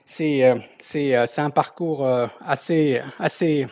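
A man's voice: on the high side, takes it slowly, darkish tone, no echo. Male guest, thirties, Moroccan, Berber mother tongue; hesitant conversational storytelling, close dry recording. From southern Morocco. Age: 30s. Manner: hesitant, repeating words as he searches for phrasing. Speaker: podcast guest, company founder. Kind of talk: casual autobiographical interview.

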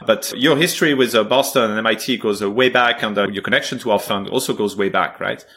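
A man hosting a podcast interview, speaking conversationally with frequent pauses. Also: on the low side, sharp tone. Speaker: podcast host.

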